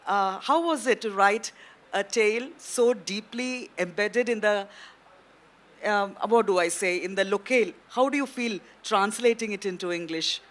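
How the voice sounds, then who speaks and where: A woman moderating a literary festival panel, asking questions in measured, academic phrasing. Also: mid-range voice, a bit bright. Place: festival stage.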